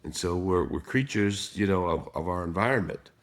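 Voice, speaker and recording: A man with a raspy voice, a guest on a podcast, explaining his ideas calmly. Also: sits low, normal speed. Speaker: guest, trauma specialist. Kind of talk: casual podcast interview.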